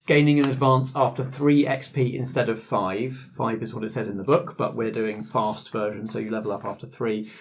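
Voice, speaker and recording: A man's voice: mid-range voice, little pitch movement, normal speed, hardly any pauses, a little hiss or hum. A man talking casually as game master and host in a group discussion of a roleplaying game.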